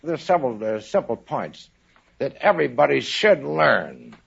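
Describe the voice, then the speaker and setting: An older American man speaks with a very gravelly, husky voice. He answers formally and deliberately, like an official being interviewed. The recording is dull vintage radio from the 1950s. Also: medium pitch, big pitch swings, a bit bright. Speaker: retired admiral, quiz show contestant.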